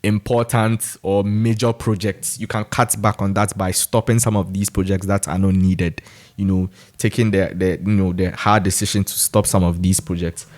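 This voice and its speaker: A man with a Ghanaian accent shares his opinions as an informal commentator. His voice sits on the low side. He talks conversationally, in a frank and critical manner. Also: level tone, moderate pace, darkish tone. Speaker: commentator giving his views on national news.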